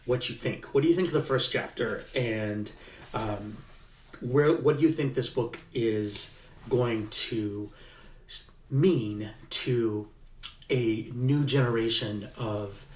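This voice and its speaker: A man, an author, reading his own novel aloud in an unhurried way, pausing with fillers as he chats and leaning into the tense passages. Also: mid-range voice. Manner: unhurried, with halting fillers in the chat and livelier emphasis in the reading. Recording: echoey room, mic at a distance.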